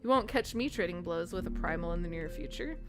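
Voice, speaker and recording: A woman's voice: fairly low pitch, lively intonation, fairly quick, lightly acted. A woman reading out a chatty, proud, hardworking helper of heroes from a video game. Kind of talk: reading game dialogue aloud. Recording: game music behind the voice.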